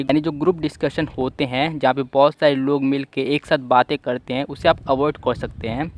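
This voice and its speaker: A young man hosting an online English-listening lesson, giving repetitive advice in a steady explanatory voice-over. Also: mid-range voice. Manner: repetitive, advising tone. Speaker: English-learning channel host.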